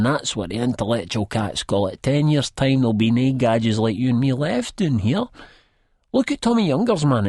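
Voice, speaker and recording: Audiobook lead: nervous Edinburgh waster, good-hearted, swooping intonation, dry close sound, self-deprecating rambler. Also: male voice, on the high side, a little mellow, no echo. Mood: nervous, pleading. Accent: Scottish, Edinburgh. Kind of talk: first-person audiobook narration in dialect.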